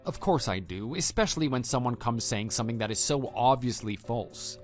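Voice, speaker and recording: A man playing a calm, matter-of-fact husband and former teacher in a scripted online radio drama. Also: mid-range voice, close to an everyday voice. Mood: calm, reassuring. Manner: measured, matter-of-fact, quietly dry. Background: background music.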